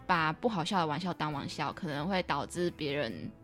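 A woman's voice: deep voice, expressive, a little fast, fairly bright tone, soft background music. A young adult woman with a Taiwanese Mandarin accent, hosting a casual podcast interview and telling personal stories reflectively. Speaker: podcast host and radio drama writer.

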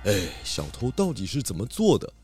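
A man's voice: lowish voice, wildly swooping pitch, normal speed, a little mellow, faint music behind. A man playing a portly, confident pig detective in a children's detective audio drama, theatrical and full of exclamations. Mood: pondering, confident.

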